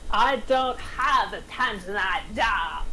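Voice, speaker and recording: A woman's voice: medium pitch, expressive, slow pace, sharp tone, soft background music, exaggerated voice. A woman playing a defiant diner waitress held at gunpoint, in an amateur table reading.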